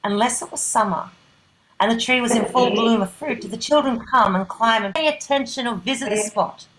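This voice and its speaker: A woman narrating a play in a dramatic scripted style, breaking it into short, deliberate phrases. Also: fairly high pitch, noisy background.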